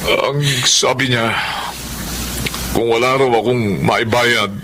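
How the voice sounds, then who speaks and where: A man's voice: mid-range voice, steady tone, slow pace, natural voice. Man playing pleading, guilt-ridden father, noisy audio. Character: pleading, guilty gambler father, deep in debt. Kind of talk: Filipino radio drama acting. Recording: noisy radio drama audio.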